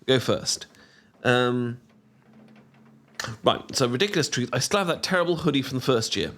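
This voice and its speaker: A young British man in an intimate boyfriend roleplay, talking casually to the listener in a teasing, affectionate, sometimes halting and bashful way. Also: mid-range voice, animated. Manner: gently teasing, playful, affectionate. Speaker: audio roleplay performer.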